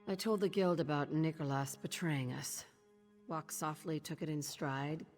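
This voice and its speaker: A woman's voice: sits low, unhurried, darkish tone. A woman playing a grieving, betrayed thief in a video game, speaking in a terse, grave, resolute way. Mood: grim resolve. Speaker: game character. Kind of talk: dramatic game dialogue. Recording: long hall reverb, music underneath.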